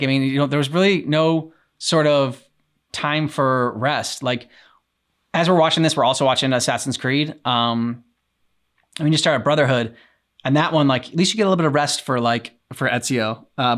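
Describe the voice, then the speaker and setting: A young adult man co-hosts a casual reaction chat. He rambles in a laid-back way, full of ums and uhs, and his voice sits at an ordinary middle pitch. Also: normal speed, fairly bright tone. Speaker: reaction channel co-host.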